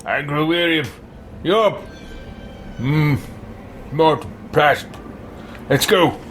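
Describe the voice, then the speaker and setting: Male let's-player, in-character gamer, slightly high pitch, halting theatrical delivery, noticeable background noise. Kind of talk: role-play video game let's play.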